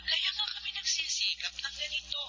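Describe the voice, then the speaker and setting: Middle-aged Filipino mother, radio drama: high voice, animated scolding, busy and impatient. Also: moderate pace, heavily acted. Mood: exasperated, pleading. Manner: scolding, pleading, mixes in English. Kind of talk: Tagalog radio drama acting. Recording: noisy, humming telephone-style radio drama.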